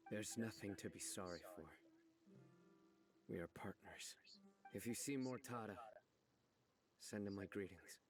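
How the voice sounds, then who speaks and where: Man acting low-voiced stern, stoic investigator. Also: over music, close to an everyday voice. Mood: grave, restrained. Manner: grave, restrained, deliberate. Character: stern, stoic male investigator, loyal old partner. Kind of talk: dramatic video game voice acting.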